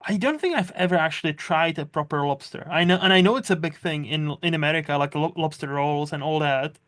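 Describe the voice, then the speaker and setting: A man's voice: on the high side, a little fast, fairly bright tone. A man with a Czech accent mixed with Scottish tones, hosting a casual podcast interview and chatting in a rambling, laughing way. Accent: Czech with Scottish mix. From Czech Republic. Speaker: podcast host. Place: lives in Scotland.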